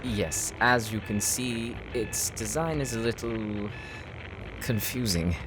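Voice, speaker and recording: A man's voice: lowish voice, slow pace, low-quality recording. A man playing a polite, articulate demon hunter who likes to show off in a fantasy audio drama. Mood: explanatory, confident. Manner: courteous, articulate, explanatory. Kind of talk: fantasy audio roleplay acting. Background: music.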